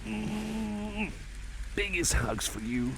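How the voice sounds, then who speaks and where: A man's voice: high voice, sing-song, extremely slow, vocal fry, exaggerated voice. A man playing a shy, caring cyborg friend in an ASMR roleplay, speaking hesitantly with stammers and earnest reassurance. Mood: affectionate, bashful. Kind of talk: ASMR personal attention roleplay. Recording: faint background noise and ambience.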